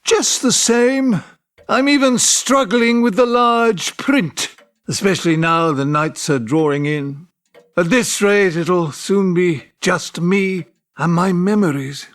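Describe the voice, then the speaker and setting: A man's voice: high-pitched, takes it slowly, fairly bright tone. An actor in an audio drama playing a cultured, romantic male physics teacher, earnest, erudite and self-deprecating. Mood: plaintive, fond. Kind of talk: audio drama dialogue and narration.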